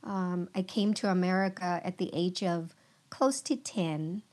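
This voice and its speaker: A middle-aged woman from Vietnam tells her story as a podcast guest. She speaks slowly and earnestly, pausing often. Her voice sits fairly low. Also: a little mellow. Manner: slow, halting, earnest speech with many pauses. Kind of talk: testimony in a podcast interview.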